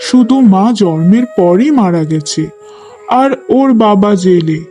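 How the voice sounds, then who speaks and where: A man's voice: high-pitched, expressive, unhurried, warm tone, faint music behind. A man voicing an earnest, resolute young man in love in a cartoon story. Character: resolute, kindly lovestruck young man of good family.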